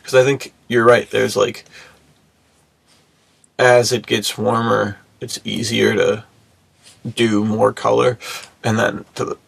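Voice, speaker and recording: A man co-hosting a podcast in a laid-back, slangy, conversational way. His voice is very creaky and somewhat husky. It is recorded close and dry, with little room sound. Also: fairly low pitch, level tone, takes it slowly, pauses often, fairly bright tone, dry recording. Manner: laid-back slangy talk, says "dude" often. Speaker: podcast host. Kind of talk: casual podcast chat.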